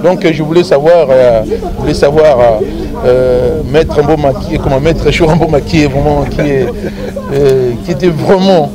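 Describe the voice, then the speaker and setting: A man's voice: a little high, normal speed, a crowd in the background, low-quality recording. A middle-aged man with a Congolese accent being interviewed informally, speaking hesitantly and repeating words as he goes. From Democratic Republic of the Congo. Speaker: interview guest, deputy mayor. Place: outdoors in a grassy garden, seated. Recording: outdoor recording with people chattering.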